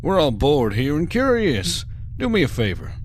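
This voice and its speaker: A man playing a cocky, folksy veteran space convict in an audio drama, smooth-talking and condescending. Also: fairly high pitch, wildly swooping pitch, moderate pace. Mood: coaxing.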